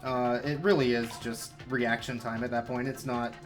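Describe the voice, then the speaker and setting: Man, casual speedrun commentary, mid-pitched, rambling, self-mocking, faint background music. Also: fairly even intonation, moderate pace. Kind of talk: casual live gameplay commentary.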